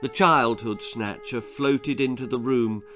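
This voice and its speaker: A man's voice: mid-range voice, measured pace, music in the background. A man reading his own children's story aloud as a dramatic storyteller.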